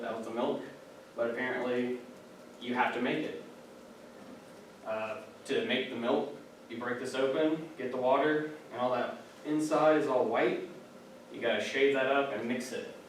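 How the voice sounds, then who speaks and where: A young man giving a casual, chatty classroom presentation, full of fillers and asides. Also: medium pitch. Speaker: student giving a class speech. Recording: echoey room, distant mic, background noise.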